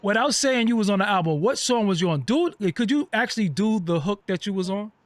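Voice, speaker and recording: A man's voice: high-pitched, animated, brisk, no room sound. A man hosting an online interview, chatting casually with lots of fillers and street slang. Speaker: host.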